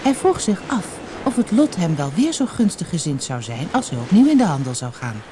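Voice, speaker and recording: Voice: mid-range voice, sing-song, a little fast, noisy background. A narrator reading a storybook expressively and dramatically for an audiobook, on an old cassette recording.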